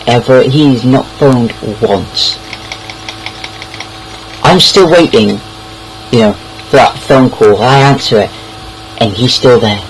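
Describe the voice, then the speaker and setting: A young man with a British accent tells personal stories in a casual, rambling way. His voice sits at a middling pitch, and he often stalls and repeats words. Noticeable background noise runs through the homemade-sounding recording. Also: slow pace, long pauses, poor audio. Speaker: online storyteller. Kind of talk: casual anecdotal storytelling.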